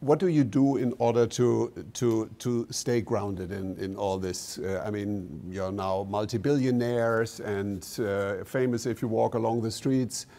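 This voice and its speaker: A man, interviewing guests on a large indoor stage in a teasing, quick-questioning way. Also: on the low side, warm tone.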